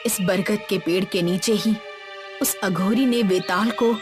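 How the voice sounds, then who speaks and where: A woman's voice: mid-range voice, measured pace, over music, natural voice. A woman voicing a protective, fearful old village grandmother in a horror story, with a solemn maternal way of telling. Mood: solemn recollection.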